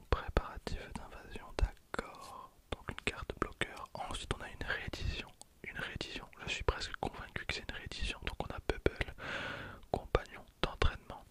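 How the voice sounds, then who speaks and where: A man whispers softly in an ASMR style, unhurried, repeating phrases slowly into a close microphone. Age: 20s. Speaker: ASMR video creator and host. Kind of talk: ASMR whispering, card pack opening commentary. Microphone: handheld recorder used as close ASMR microphone.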